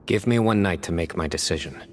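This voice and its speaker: A man playing a stern young fantasy game hero, serious and measured in how he talks. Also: sits low. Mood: resolute.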